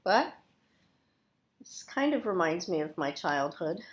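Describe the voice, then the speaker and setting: A woman reads a children's storybook aloud as a teacher would. Her pitch is lively and varied, and she breaks off for casual asides to the kids. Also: unhurried. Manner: expressive, addresses young listeners directly.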